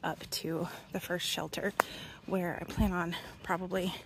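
A woman's voice: lowish voice, level tone, a little slow, noisy background. A woman in her twenties vlogging while thru-hiking a wooded mountain trail, talking casually into a phone held at arm's length, with lots of ums and some near-whispered asides. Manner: chatty, with frequent ums, drops to a near whisper at times. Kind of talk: casual vlog narration. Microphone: phone camera held at arm's length.

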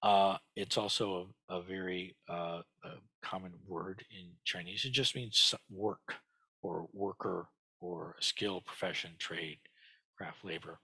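A man in his sixties teaching a lesson from his home study over a headset, explaining slowly with many fillers and restarts. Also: sits low, steady tone, bright, forceful tone, husky, dry recording, poor audio. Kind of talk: screen-share lesson on Chinese characters.

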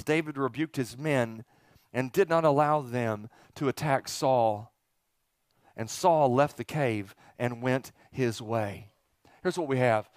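A man's voice: medium pitch, dry recording. A middle-aged man preaching an informal, interactive sermon as a pastor, folksy and joking, putting questions to his congregation and repeating phrases for emphasis. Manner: folksy, joking, asks the audience rhetorical questions. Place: church auditorium stage, indoors. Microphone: headset.

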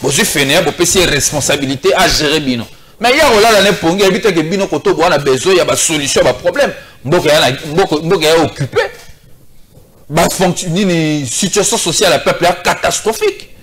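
Homme véhément au timbre aigu. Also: male voice, fairly high pitch, very animated, fairly quick, fairly bright tone. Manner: emphatic, critical, gesturing. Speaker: political commentator. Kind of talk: TV debate. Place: TV studio set.

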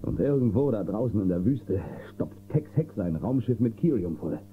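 An actor playing an upright, steady space-western marshal in a radio play, earnest and firm. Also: male voice, lowish voice, moderate pace, close to an everyday voice. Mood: worried, confiding. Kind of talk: German radio play acting. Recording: tinny, noisy old cassette copy.

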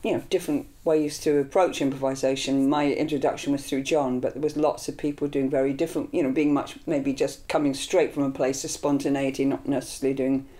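A woman's voice: very deep voice, brisk, hardly any pauses. An older woman from Scotland, raised in London, talking earnestly in an interview about her start as an improvising singer, with fillers and restarts. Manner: earnest, animated with hand gestures. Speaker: improvising vocalist. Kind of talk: reflective interview. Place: small indoor studio room.

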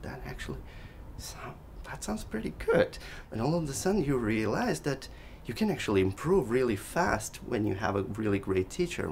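A middle-aged man whose first language is Russian, a clarinet professor speaking thoughtfully and measuredly in an interview, with pauses and restarts. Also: lowish voice, gravelly, slight background noise. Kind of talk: reflective interview. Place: living room with daylight window.

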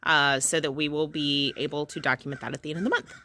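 A woman's voice: sits low, fairly even intonation, fast talker, bright, forceful tone. A woman hosting a home vlog, talking in an upbeat, chatty way and often giggling, recorded on the phone she holds. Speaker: vlog host. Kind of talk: casual chat. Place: backyard outdoors, then home kitchen. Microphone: phone camera held by her.

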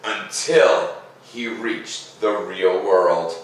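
Male read-aloud host, informal and expressive, slightly low voice, recorded at a distance in a boomy, reverberant living room. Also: very slow. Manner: informal, expressive when reading. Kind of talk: picture-book read-aloud with casual asides. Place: living room by a fireplace, indoors. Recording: echoey room, distant mic, faint noise.

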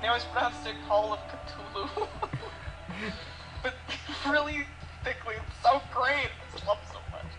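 A woman's voice: fairly high pitch, normal speed, poor audio. A young woman hosting a let's play, full of playful, giggly banter. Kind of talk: casual gaming chat. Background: game music.

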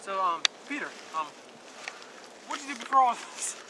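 High-pitched young man, noisy outdoors. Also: expressive, normal speed. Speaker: amateur actor in film outtakes. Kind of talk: rehearsing scripted dialogue, casual. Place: outdoors in woods. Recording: noisy outdoor camera recording.

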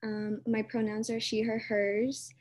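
A woman's voice: on the high side, level tone, a little slow, a little mellow. A young woman, a student panelist, introducing herself over a video call. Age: young adult. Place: indoor room, video call. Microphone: video call microphone.

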